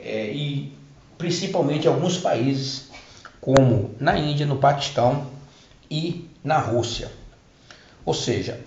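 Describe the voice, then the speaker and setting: A man's voice: medium pitch, darkish tone. A middle-aged man giving a personal testimony about spiritual healing, hesitating and restarting his words as he explains. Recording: roomy, dull lo-fi recording.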